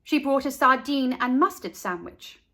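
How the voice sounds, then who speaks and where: A woman reading a children's picture book aloud as a storyteller, in a deliberate, expressive manner. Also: high voice. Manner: slow, deliberate, animated. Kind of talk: expressive read-aloud narration for kids.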